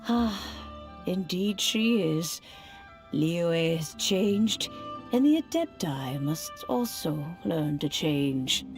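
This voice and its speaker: A gentle old granny from a video game, speaking slowly in a lowish register. Her old-fashioned phrases have a creaky edge and sound fond yet chiding, with faint music behind her. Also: expressive, heavily acted. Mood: wistful.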